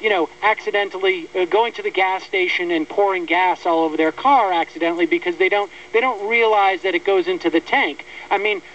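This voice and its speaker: An American man hosting a political radio interview in a conversational, wry, halting way, repeating words as he forms his points. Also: sits high, fairly bright tone. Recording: distant, dull lo-fi radio audio, faint hum.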